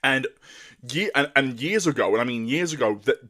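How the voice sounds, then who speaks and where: Angry ranting man, deep voice, stumbling. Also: animated, moderate pace, bright, forceful tone. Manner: angry and profane, with many repeated stumbles and restarts. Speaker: commentator. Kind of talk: ranting monologue.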